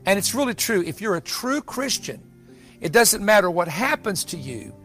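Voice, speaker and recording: Man, high-pitched preacher. Emphatic, exhorting prophecy sermon with repeated phrases, over background music. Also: very animated, normal speed, a bit bright. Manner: emphatic, repetitive, exhorting delivery.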